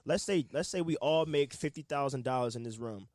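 A man co-hosting a casual group conversation, animated and argumentative, swearing casually. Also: medium pitch, brisk, dry recording, clean studio sound. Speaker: podcast co-host.

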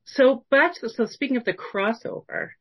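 A woman's voice: high voice, moderate pace, husky, highs cut off. A woman interviewing a guest for a podcast in a casual, conversational way.

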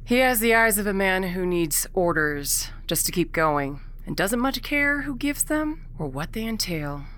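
A woman's voice: mid-range voice, lively intonation, a little slow, a bit bright. A woman playing a wry, philosophical rebel prisoner in a science fiction audio drama, with a lofty, theatrical, teasing manner. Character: wry, world-weary alien rebel prisoner, grandly philosophical.

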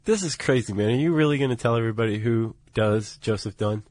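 A man's voice: medium pitch, fast, darkish tone, no room sound. A man co-hosting a podcast, bantering and joking casually. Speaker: podcast co-host. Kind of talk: casual chat.

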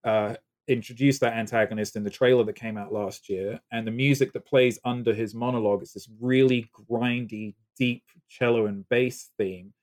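A man's voice: medium pitch, fairly even intonation, moderate pace, hardly any pauses, darkish tone. Male guest, faint British accent, chatty and enthusiastic, rambling answers. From Britain. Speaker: guest composer. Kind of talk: casual podcast interview.

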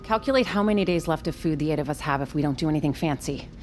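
A woman's voice: low-pitched, expressive, rapid-fire, a little mellow, soft background music. An actress playing an earnest, anxious woman settler documenting a survival mission in a science fiction audio drama. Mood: worried.

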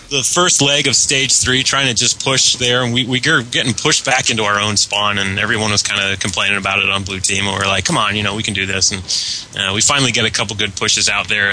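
A man chatting casually on a podcast about online gaming, telling things in a rambling, halting way. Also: on the low side, sharp tone, slight background noise, poor audio. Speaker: podcast panelist.

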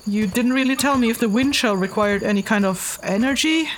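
A woman's voice: fairly high pitch, a little fast, a little hiss or hum, close to an everyday voice, low-quality recording. A woman playing a weary, hesitant traveling investigator in a fantasy audio drama, speaking matter-of-factly. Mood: hesitant, rambling. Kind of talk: fantasy audio drama, narrated log and dialogue.

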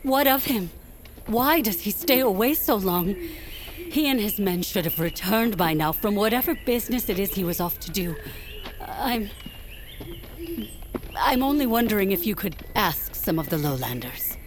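A woman playing an anxious, affectionate highborn aunt in a fantasy audio drama, speaking in formal, old-fashioned phrases. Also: animated, normal speed, a crowd in the background, natural voice. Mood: anxious, pleading. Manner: formal, archaic phrasing, hesitant.

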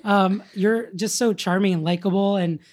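A woman with a raspy voice hosting a casual interview and gushing over her guest. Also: medium pitch, steady tone, moderate pace, darkish tone. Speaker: podcast host.

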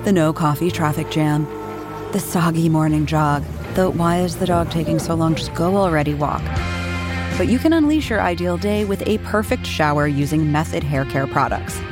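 A woman's voice: moderate pace, music in the background. A woman giving an upbeat, scripted ad read. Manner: bright, upbeat pitch. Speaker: ad announcer.

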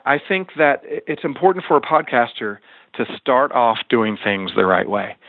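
A man's voice: on the low side, no echo. A man speaking as an expert guest in an interview, explaining things conversationally with stop-and-start phrasing. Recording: thin phone-like line.

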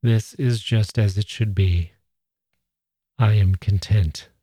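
A man's voice: on the low side, steady tone, slow, warm tone, breathy edge, no room sound, clean studio sound. A man voicing a peaceful, contented young man in a storybook reading, with a serene manner.

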